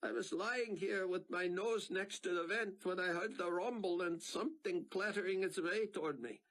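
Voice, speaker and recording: A man's voice: sits high, moderate pace, a bit bright, pitched above the performer's own voice. A man doing an earnest older Israeli scholar. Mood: shaken. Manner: formal, earnest speech.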